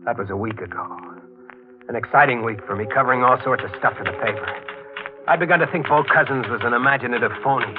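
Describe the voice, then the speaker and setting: An actor playing an earnest, tense newspaper reporter, clipped and dramatic in 1940s radio style. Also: male voice, medium pitch, quick pace, natural voice. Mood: hardboiled narration. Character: earnest, tense young-sounding male newspaper reporter hero. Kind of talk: 1940s radio acting and first-person narration. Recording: tinny old radio broadcast, music behind.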